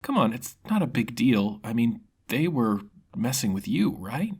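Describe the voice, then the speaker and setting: A man's voice: medium pitch, sing-song, unhurried, darkish tone, breathy edge, full character voice. A man voicing a cheerful, dim, trusting young male wanderer in an easygoing, hesitant way for a fantasy story. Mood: carefree.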